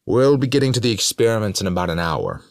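Audiobook doctor , done by a male narrator: official, composed, measured delivery, slightly gravelly voice. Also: lowish voice, very animated, no echo, clean studio sound, voice pushed up. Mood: formal announcing. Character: male doctor running experiments, composed and formal.

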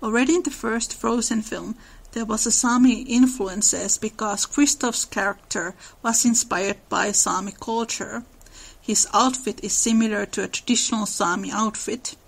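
A woman's voice: medium pitch, creaky. A woman with a Finnish accent, hosting a podcast and narrating in an earnest, explanatory way. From Finland. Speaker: podcast host.

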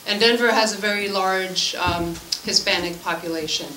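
A woman in her forties from Switzerland, whose first language is French, speaks informally as a teacher introducing herself. Her medium-pitched voice comes from some distance in an echoey meeting room. There is clear noise behind her. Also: steady tone, moderate pace. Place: school room at a committee meeting, indoors.

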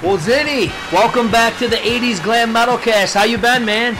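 A man's voice: very high voice, normal speed. A man hosting a rock podcast, upbeat and joking, often laughing as he talks, over canned crowd noise and music. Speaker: podcast host. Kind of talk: casual interview with jokes.

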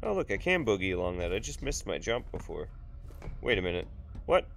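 A man's voice: sits low, sing-song, fairly quick, creaky, fairly bright tone. A man in his thirties with a sick, nasal voice from blocked sinuses, rambling and joking through casual game commentary at a home desk. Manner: rambling, jokey, swears freely. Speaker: gaming YouTuber. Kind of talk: casual let's play commentary.

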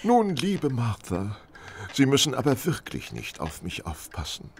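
An actor playing a mild, pious, frail vicar who speaks hesitantly and courteously in a radio play. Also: male voice, medium pitch, huge pitch swings, a little slow, slight background noise. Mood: mild, polite. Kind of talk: German radio play acting.

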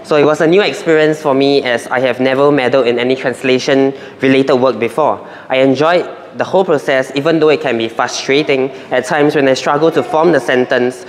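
A man's voice: medium pitch, fairly quick, hardly any pauses. Young man testifying in a reverberant room. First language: Chinese. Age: young adult. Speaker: church member giving a testimony. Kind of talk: prepared testimony. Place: church meeting room, indoors. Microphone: handheld microphone. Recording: roomy reverb, faint noise.

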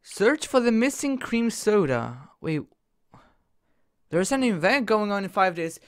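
A young man casually commenting while he plays a game on his computer, excitable and jokey, jumping from loud bursts to quiet mumbles. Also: high voice, a little slow. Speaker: gaming YouTuber.

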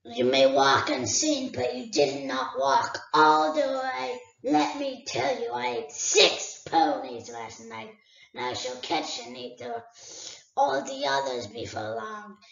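A woman voicing a huge, cunning male dragon who drawls slowly and sneers. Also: on the high side, big pitch swings, vocal fry, reverberant, dull audio, heavily acted. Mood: menacing. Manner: slow, drawn-out, sneering speech.

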